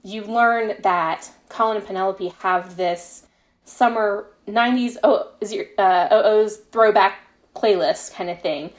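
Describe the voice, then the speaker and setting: A woman's voice: medium pitch, measured pace. A woman with a mid-range voice talks as a guest author in a casual interview. She explains her creative choices reflectively, in loose, conversational sentences peppered with filler phrases. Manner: reflective, explains at length with frequent "you know" and "like".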